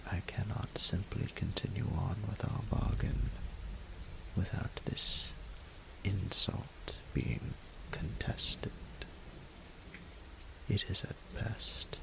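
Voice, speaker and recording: A man playing a proud, menacing and seductive dragon ruler in a romantic audio roleplay, speaking formally in a breathy, gravelly half-whisper. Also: deep voice, background noise, heavily acted. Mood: haughty, aggrieved. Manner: haughty, formal, deliberate.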